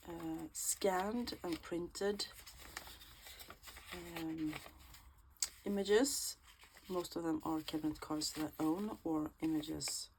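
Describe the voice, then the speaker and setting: A woman from Sweden with a Swedish accent, chatting casually in a crafting vlog, unhurried and full of ums and restarts. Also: low voice, a little mellow, a little hiss or hum. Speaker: junk journal creator.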